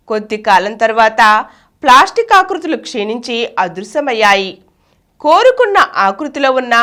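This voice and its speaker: A woman's voice: fairly high pitch, fairly bright tone. A woman presenter reading a scripted educational explainer on health science.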